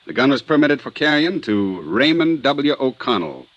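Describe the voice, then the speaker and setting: An American actor playing a brisk, matter-of-fact police sergeant in a 1950s radio drama, terse and businesslike. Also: male voice, medium pitch, sing-song, dry recording, dull audio, close to an everyday voice.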